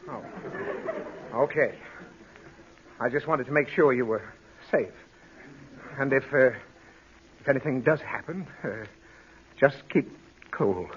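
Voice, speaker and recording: A man's voice: mid-range voice, lively intonation, slow pace, long pauses. An actor playing an exasperated, sleep-deprived father in 1940s radio comedy, pleading, scolding and blustering as he gets flustered. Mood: nervous, flustered. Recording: muffled, hissy old radio recording.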